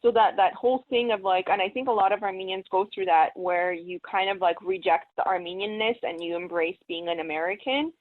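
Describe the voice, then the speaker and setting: A woman's voice: medium pitch, a little fast, hardly any pauses. A woman guest speaking animatedly, pressing her points with rhetorical questions. Her voice comes through a narrow, tinny line, like a phone call. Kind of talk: casual conversation.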